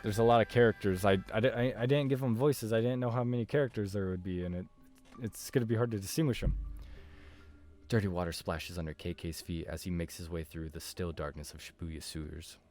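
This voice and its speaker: A man's voice: deep voice, fairly even intonation, a little fast, a little mellow. A man reading a visual novel aloud as narration, as a game streamer does. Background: background music.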